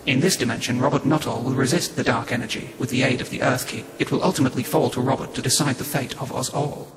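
A man playing a calm, formal, all-knowing otherworldly being in a sci-fi audio drama. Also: mid-range voice, background noise, close to an everyday voice. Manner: formal, measured, speaks as "we". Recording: echoey, music behind.

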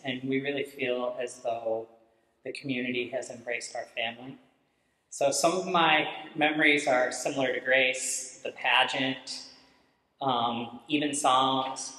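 A middle-aged man, a father in the parish, speaking haltingly and thoughtfully into a handheld microphone in a church. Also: mid-range voice, bright, forceful tone. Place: large church sanctuary. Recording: echoey church hall.

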